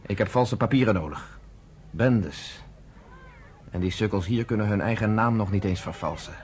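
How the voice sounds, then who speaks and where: A man's voice: on the low side, moderate pace, a little mellow, slight background noise, lightly acted. An actor playing a firm, shrewd, commanding male leader in a radio drama. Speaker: underground organizer.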